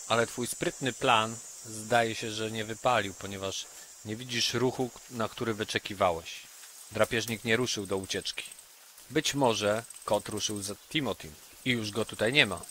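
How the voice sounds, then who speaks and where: Low voiced guy narrating rpg story, forceful and measured, dramatic, crickets and clicks faint behind. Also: a little hiss or hum. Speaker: game master narrator.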